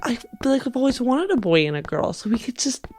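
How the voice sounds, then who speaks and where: A woman's voice: on the high side, big pitch swings, darkish tone, pitched above the performer's own voice. An eager, sugary wife who wants to adopt, played by a woman at a game table. She coaxes and gushes in careful, polite phrases. Faint music plays behind her. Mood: sweetly coaxing. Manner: gushing, coaxing, hesitant pauses.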